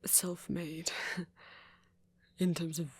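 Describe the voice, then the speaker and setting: A performer playing a soft-spoken, wounded male vampire in an intimate audio roleplay, tentative and tender, often trailing off. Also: mid-range voice, level tone, very slow, slightly rough. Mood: shy, reassuring.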